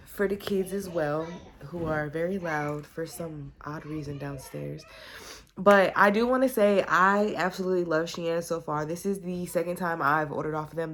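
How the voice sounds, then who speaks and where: A woman's voice: fairly low pitch, a bit bright. A young woman vlogging in casual chat, sounding nasal from a head cold and talking in a chatty, upbeat way full of fillers.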